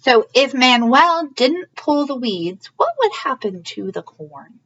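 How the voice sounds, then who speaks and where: A woman's voice: sits high, very animated, takes it slowly, fairly bright tone, highs cut off. A woman hosting a storybook read-aloud for young children, asking questions and explaining as she goes. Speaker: early learning read-aloud host.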